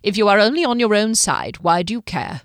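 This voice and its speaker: A woman's voice: medium pitch, expressive, fairly quick, dry recording, clean studio sound, lightly acted. A woman voicing a pale male lieutenant who is cheerful and menacing, speaking in a mocking, theatrical, sing-song way. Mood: scornful. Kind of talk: audiobook character voice.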